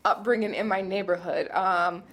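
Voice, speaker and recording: A woman's voice: medium pitch, steady tone, normal speed, a bit bright. A young woman from Brooklyn, a storyteller and comedian telling her life story on a radio interview, laughing often between her words. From Brooklyn, New York. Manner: laughs often, talks with many fillers and restarts. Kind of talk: casual interview talk.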